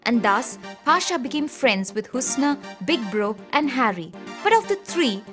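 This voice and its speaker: High-voiced woman narrating a children's story. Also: big pitch swings, unhurried. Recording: music behind the voice.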